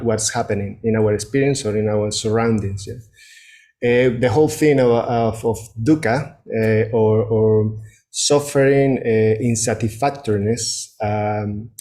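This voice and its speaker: A man's voice: mid-range voice, darkish tone. Hesitant Venezuelan man giving a dharma talk. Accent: Venezuelan Spanish. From Venezuela, Andes. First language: Spanish. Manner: hesitant, reflective, often checking in with "yeah". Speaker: Buddhist speaker giving a talk. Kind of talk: Buddhist dharma talk to a group.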